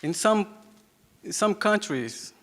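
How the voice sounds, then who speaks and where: Young Brazilian man, Yawanawa native speaker, halting public talk, slow delivery, long hall reverb. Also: high-pitched, lively intonation, fairly bright tone. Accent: Brazilian Portuguese. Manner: halting, repeats words, laughs often. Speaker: invited speaker. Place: hall with an audience. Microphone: handheld microphone.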